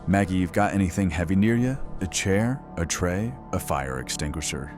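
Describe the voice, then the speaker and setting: An actor playing a commanding late-night radio host with a dry, sardonic manner in a horror audio drama. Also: male voice, sits low, animated, normal speed. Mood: urgent, commanding. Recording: music behind the voice.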